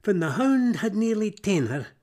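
Scottish male narrator, higher-pitched voice, very varied intonation, theatrical verse storytelling, hushes and swells. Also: big pitch swings, normal speed. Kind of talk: expressive dramatic storytelling of fables in Scots verse.

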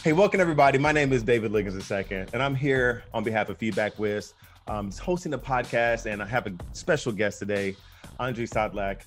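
A young American man hosting a podcast, chatting loosely with plenty of ums and self-corrections. His voice sits low and the words come quickly. He speaks in a relaxed, friendly interview register. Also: soft background music. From United States. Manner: easygoing, many fillers and restarts. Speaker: podcast host. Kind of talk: casual interview chat.

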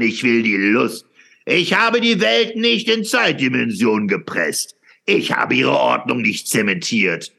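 Gravelly, fervent brooding philosopher. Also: male voice, mid-range voice, sharp tone, heavily acted. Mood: rapturous, feverish. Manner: declamatory, halting, with dramatic pauses and repetitions. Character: fervent, brooding male philosopher proclaiming eternal return. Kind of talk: theatrical radio play monologue.

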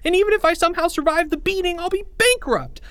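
A man voicing a frantic, stammering schoolboy with a high, squeaky voice. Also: quick pace, fairly bright tone, no room sound. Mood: panicky. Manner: frantic, repeats words, later groggy and slurred. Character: anxious schoolboy Jeffrey, panicky and babbling.

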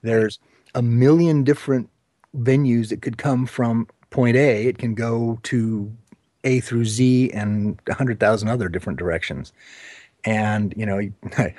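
A man hosting an informal interview show, speaking haltingly and repeating words as he goes. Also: medium pitch, darkish tone, no room sound, studio quality.

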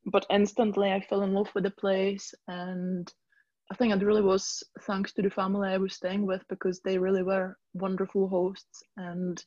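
Woman in her 30s, even and flat delivery, dull dry line. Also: medium pitch, normal speed, dry recording. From Czech Republic. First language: Czech. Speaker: guest, tour business owner. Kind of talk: conversational interview.

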